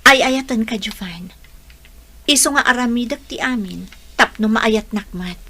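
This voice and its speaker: A woman's voice: a little high, unhurried, bright, forceful tone, full character voice. A woman playing a lovelorn, determined young bride in a radio drama, earnest and pleading. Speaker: audio drama actor.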